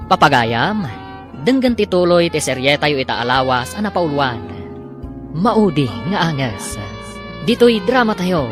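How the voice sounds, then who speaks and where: Filipino man announcing radio drama over music. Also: fairly high pitch. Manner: emphatic, drawn-out titles. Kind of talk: scripted dramatic narration. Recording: radio broadcast with loud music bed.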